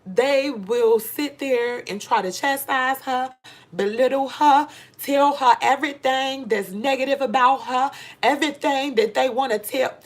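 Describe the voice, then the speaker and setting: A woman's voice: sits high, husky. A middle-aged American woman giving a candid motivational monologue to viewers, speaking emphatically and repeating phrases for stress. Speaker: vlogger giving advice to women.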